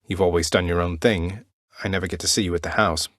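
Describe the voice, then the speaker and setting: An actor playing a young underworld prince in a video game, polite and cheeky, with dry, understated wit and a determined streak. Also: male voice, deep voice, fairly even intonation, quick pace, fairly bright tone, no echo. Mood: polite, wry. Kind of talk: video game character voice lines.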